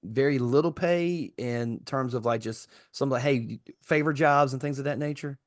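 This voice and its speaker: A man's voice: medium pitch, moderate pace, no room sound, studio quality. A man co-hosting a podcast, chipping in with casual conversational questions and remarks. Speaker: podcast co-host. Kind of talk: casual chat.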